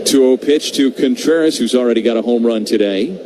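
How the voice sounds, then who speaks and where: Man, radio play-by-play, medium pitch, conversational home-team commentary, noisy live broadcast feed. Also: a little fast. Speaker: baseball play-by-play announcer.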